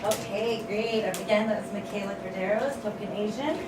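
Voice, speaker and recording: A woman's voice: mid-range voice, normal speed. A woman hosting a live table read, giving informal introductions. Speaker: event host. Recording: roomy, distant mic, loud noise.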